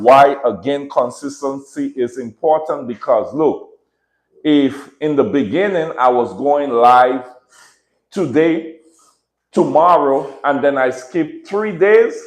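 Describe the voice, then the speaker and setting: A man from the Caribbean with a Caribbean accent, hosting a casual livestream from home. He talks in an earnest, reflective way, repeats phrases and often checks in with "right?". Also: on the high side. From Dominica, raised in Saint Martin. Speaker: livestream host and dog breeder. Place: room at home with dogs. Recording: echoey room.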